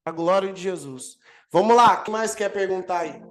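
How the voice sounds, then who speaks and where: A man with a Brazilian accent, an apostle teaching a healing course over a video call, energetic and emphatic as he answers questions. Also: high voice, normal speed. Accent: Brazilian Portuguese. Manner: energetic preacher, swells and emphasizes key words. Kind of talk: live online teaching with Q&A.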